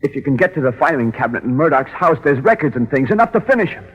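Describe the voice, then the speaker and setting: Husky young condemned crook. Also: male voice, a little high, sing-song, fast talker, fairly bright tone, full character voice. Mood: bitter, urgent. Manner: slangy tough-guy delivery, intense. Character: doomed young male condemned crook, bitter, tough, defiant. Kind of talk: 1940s radio drama acting. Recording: dull vintage radio recording, reverberant.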